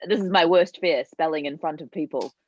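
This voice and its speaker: New woman, contestant, hesitant and giggly, low voice, dull lo-fi call audio. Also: fairly quick, crowd noise. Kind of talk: casual chat. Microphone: video call.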